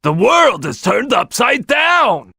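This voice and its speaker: An actor voicing a brash, gung-ho male crocodile detective boss in a gruff, raspy video game voice. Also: extremely high pitch, very animated, slow pace, bright, forceful tone, no echo, shouting, studio quality, heavily acted. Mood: fired up.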